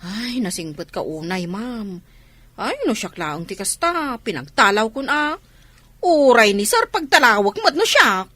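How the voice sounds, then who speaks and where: A woman's voice: a little high, sing-song, measured pace, sharp tone, no echo, exaggerated voice. A woman playing an outspoken, nosy, loyal household helper in an Ilocano audio drama, exclaiming and scolding. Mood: scolding, prodding. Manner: exclamatory, scolding, repeats words.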